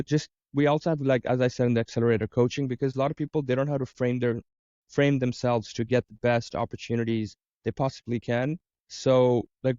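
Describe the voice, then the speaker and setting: A man from Dubai speaks as an interview guest in a soft, mellow tone with a dry, close sound. The recording is slightly dull. He explains his coaching work conversationally, often listing examples. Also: medium pitch, clear, resonant tone, no room sound. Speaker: interview guest, career coach and business owner.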